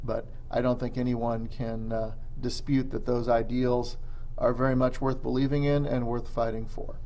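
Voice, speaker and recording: A man with an American accent speaking as a history teacher in an informal educational video, with theatrical, emphatic delivery. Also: medium pitch.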